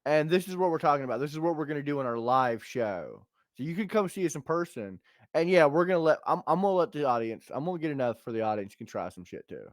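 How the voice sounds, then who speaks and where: A man's voice: fairly high pitch, lively intonation, fast, no echo. An American man co-hosting a livestream podcast, joking and rambling in casual unscripted talk, often repeating himself. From United States.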